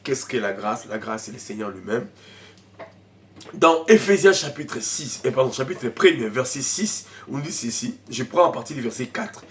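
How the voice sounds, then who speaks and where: Male pastor, head cold, fervent sermon with loud shouted peaks and hushed mutters. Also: medium pitch, lively intonation, normal speed. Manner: swings from shouted preaching to quiet murmuring asides; speaks in tongues in bursts. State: has a cold, coughing.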